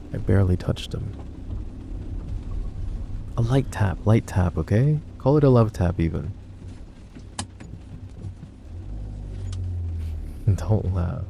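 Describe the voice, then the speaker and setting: Guy acting as low gravelly mafia husband, mellow and wry, protective boyfriend asmr roleplay. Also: slight background noise, heavily acted. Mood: wry, defensive. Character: possessive, protective young crime-family husband, dry and teasing.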